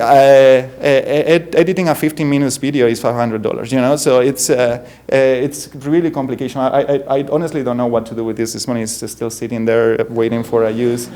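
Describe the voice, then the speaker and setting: A young man from Spain with a thick Spanish accent presents at a conference, his voice sitting somewhat high for a man. He talks in halting bursts, full of "uh" and restarts, and now and then laughs at himself as he goes through his slides. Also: a little mellow. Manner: halting, frequent fillers and self-corrections, self-deprecating chuckles. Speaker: bioengineering professor giving a keynote.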